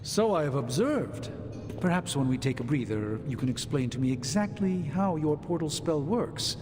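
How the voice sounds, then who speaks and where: An actor playing a formal, learned male sorcerer who speaks in a measured, polite way. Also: on the high side, expressive, long reverb tail, music in the background, natural voice. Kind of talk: video game cutscene acting.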